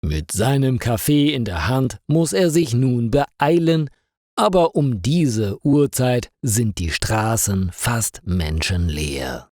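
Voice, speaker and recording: A man narrating a scripted story for language learners in a careful, deliberate way. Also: medium pitch, lively intonation, a little mellow, dry recording, clean studio sound. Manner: careful, clearly paced for learners.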